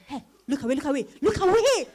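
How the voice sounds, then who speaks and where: Actress as a high, lively, frank Ghanaian best friend. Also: female voice, rapid-fire. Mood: excited, curious. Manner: emphatic, chiding, sisterly. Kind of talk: dramatized reading of a novel.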